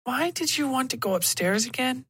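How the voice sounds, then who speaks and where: A man's voice: very high voice, lively intonation, moderate pace, pushed and bright, slightly rough, pitched above the performer's own voice, exaggerated voice. A man voicing a young, uneasy girl in a horror story, with childlike, casual phrasing.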